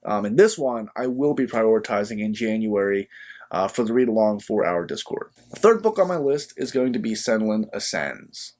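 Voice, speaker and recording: A young man hosting a book channel, talking casually to camera while sick and a bit sniffly, chatty and easygoing with frequent filler pauses. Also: fairly low pitch, big pitch swings, darkish tone. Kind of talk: casual vlog talk to camera.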